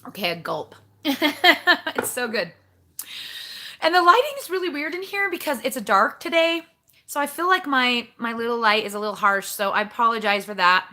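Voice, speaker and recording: A woman's voice: a little high, lively intonation, moderate pace, pushed and bright. A woman hosting a casual livestream from home, chatting with viewers and greeting them by name in a bubbly, rambling way. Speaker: livestream host. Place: home kitchen.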